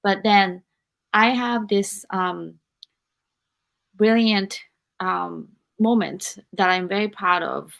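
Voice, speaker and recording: A woman from Japan, a non-native English speaker, hosting a live podcast interview and encouraging her guest while pausing to search for words. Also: mid-range voice, gravelly, a bit bright. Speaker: podcast host.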